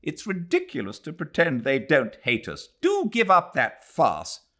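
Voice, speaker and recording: A man's voice: on the high side, huge pitch swings, measured pace, sharp tone, pitched above the performer's own voice, heavily acted. A man doing a haughty, imperious older memsahib. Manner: imperious, dismissive.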